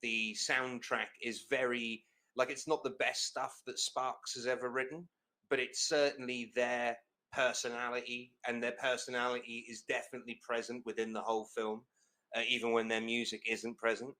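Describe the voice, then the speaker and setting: A man's voice: medium pitch, little pitch movement, moderate pace, bright, forceful tone. A young man hosting a casual film podcast, talking in an enthusiastic, rambling way with lots of fillers and restarts. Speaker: podcast host. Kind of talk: casual chat.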